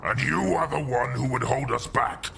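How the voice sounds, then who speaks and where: A man acts out a growling warrior captain, agitated and hungry for battle though his body is failing. He speaks with raised force in a sci-fi audio drama, over a clearly noisy backdrop. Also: medium pitch, moderate pace, pushed and bright, pitched above the performer's own voice. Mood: agitated, defiant. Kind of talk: dramatic audio story acting.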